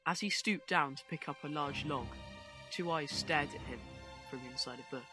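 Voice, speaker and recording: A man's voice: on the high side, moderate pace, over music. A man giving scripted storytelling narration for an audio play.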